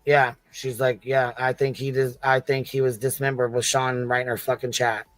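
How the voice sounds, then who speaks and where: A man's voice: mid-range voice, little pitch movement, moderate pace, no room sound, faint music behind. Male live-stream host, casual and profane, medium voice, repeats himself for emphasis, close dry sound. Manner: blunt, heavily profane, exasperated. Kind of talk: casual true-crime reaction chat.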